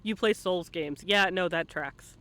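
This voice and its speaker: A man's voice: sits high, unhurried, sharp tone. A man hosting a casual live interview with playful, teasing banter. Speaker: interviewer and stream host.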